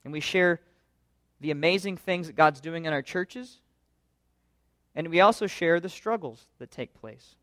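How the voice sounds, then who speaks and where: Earnest high-voiced man preaching. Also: unhurried, long pauses, fairly bright tone, no echo, clean studio sound. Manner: earnest, conversational preaching with rhetorical questions. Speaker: pastor. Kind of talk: sermon.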